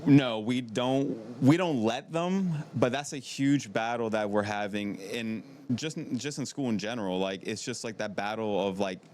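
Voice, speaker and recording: Male guest in his twenties, slightly deep voice, conversational and wordy, circles back on his phrases, noise in the recording. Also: normal speed, few pauses, darkish tone. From from Maryland, United States. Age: 20s. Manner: conversational, rambling, repeats himself. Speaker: podcast guest, middle school PE teacher. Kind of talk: casual chat. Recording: noticeable background noise.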